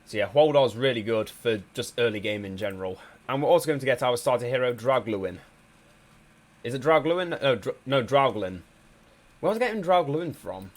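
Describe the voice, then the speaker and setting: A man's voice: medium pitch, animated, moderate pace. Man casually commentating a strategy game. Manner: hesitant, many ums and restarts. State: slightly congested from a cold. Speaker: gameplay commentator.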